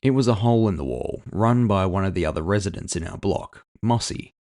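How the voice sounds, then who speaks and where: A man's voice: low-pitched, brisk, darkish tone, no echo, studio quality. A man narrating scripted first-person fiction as the storyteller.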